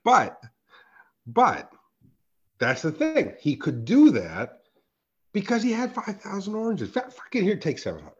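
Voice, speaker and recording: A man with a raspy, hoarse voice, co-hosting a casual podcast chat, emphatic and quick to laugh. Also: high-pitched, dull audio. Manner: animated, emphatic, swears and laughs often. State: hoarse from a sore throat. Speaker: podcast co-host.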